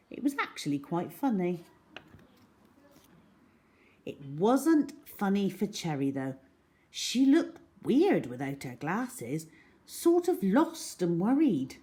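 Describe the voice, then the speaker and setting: A woman narrating a children's story in an expressive, scripted read-aloud style. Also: on the low side.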